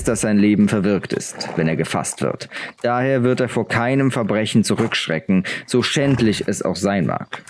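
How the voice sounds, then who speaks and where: A man voicing a solemn, stern but kindly noble father who teaches gravely, in a fantasy audiobook. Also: on the low side, a little mellow, a little hiss or hum. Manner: grave, instructive.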